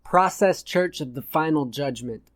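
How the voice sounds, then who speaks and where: A man's voice: a little high, expressive, measured pace, dry recording. Man reading a satirical audiobook, dry sound. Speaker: audiobook narrator. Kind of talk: scripted narration of satirical fiction.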